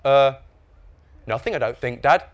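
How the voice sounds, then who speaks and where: A man's voice: mid-range voice, lively intonation, a little slow, lightly acted. A man voicing a sullen, cheeky teenage boy in a children's audiobook, muttering and arguing back.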